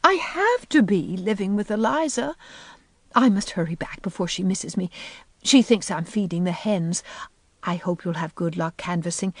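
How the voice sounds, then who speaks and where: A woman voicing a kindly, timid, optimistic country woman with an earnest, breathy delivery, in an audiobook. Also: medium pitch, dry recording, voice pushed up. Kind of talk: audiobook character voice.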